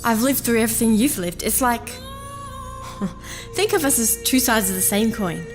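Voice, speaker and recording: Calm princess spirit played by a woman, higher register, measured and patient, audio drama with music underneath. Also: close to an everyday voice, poor audio. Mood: calm, explanatory. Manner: measured, patient, gently insistent. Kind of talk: full-cast fantasy audio drama acting.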